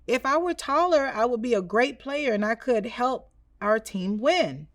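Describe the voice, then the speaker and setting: A woman's voice: a little high, lively intonation, a little slow, dry recording, clean studio sound, recorded from a distance. A woman voicing an earnest young boy from a children's storybook. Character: young boy, earnest, eager to grow taller.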